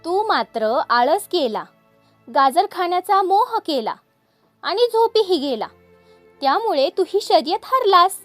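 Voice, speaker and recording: A woman voicing a calm, humble, steady male tortoise in a children's story, explaining earnestly and without hurry. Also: very high-pitched, a little slow, no echo, faint music behind, voice pushed up, full character voice. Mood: calm, firm.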